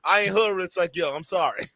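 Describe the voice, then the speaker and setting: A high-voiced man running a live stream with loud, playful energy. He shouts catchphrases and laughs often between points. The sound is dry and close to the mic. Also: a little fast, vocal fry, dry recording. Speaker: stream host. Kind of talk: hype-heavy live stream commentary.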